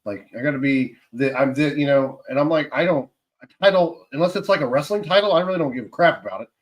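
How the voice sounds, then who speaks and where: A man's voice: a little high, fast. A man hosting a podcast, laid-back and easy to laugh, chatting casually. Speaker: podcast host. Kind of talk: casual interview chat.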